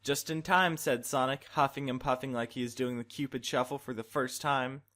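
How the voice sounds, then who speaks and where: A man's voice: medium pitch, flat delivery, fairly quick, fairly bright tone. A man reading a fan fiction story aloud in a mock-dramatic scripted narration style.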